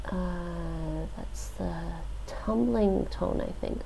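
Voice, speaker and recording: A woman streamer thinking aloud as she works through a lesson, hesitant, with many fillers and restarts, relaxed and self-deprecating. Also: lowish voice, drawn-out words, vocal fry, a little hiss or hum, poor audio. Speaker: live streamer. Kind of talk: thinking aloud while doing a language app.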